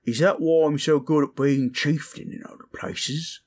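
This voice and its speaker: A man voicing a dim, good-natured orc chieftain in a fantasy audio roleplay, with a husky voice and a blustery, incredulous manner. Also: fairly high pitch, darkish tone, pitched above the performer's own voice. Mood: baffled, amazed. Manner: slow-witted, blustery, incredulous.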